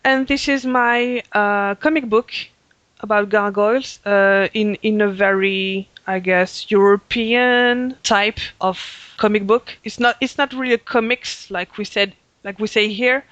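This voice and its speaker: A man's voice: high voice, fairly even intonation, a little slow, a bit bright, dry recording, muffled recording. A man hosting a fan podcast, talking in a hesitant way with many fillers and frequent laughs. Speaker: podcast host. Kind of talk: casual chat.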